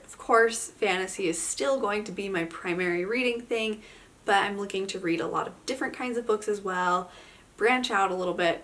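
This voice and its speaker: A woman's voice: medium pitch, fairly bright tone. A woman giving a casual, chatty book review, enthusiastic and informal, sounding nasal from a head cold.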